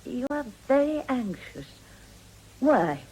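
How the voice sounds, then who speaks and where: An actress playing a proud, unflappable brothel madam with a Belgian accent and a haughty, self-possessed manner in a radio drama. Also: female voice, high-pitched, big pitch swings, slow pace, a little mellow, husky, full character voice, poor audio. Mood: guarded, haughty.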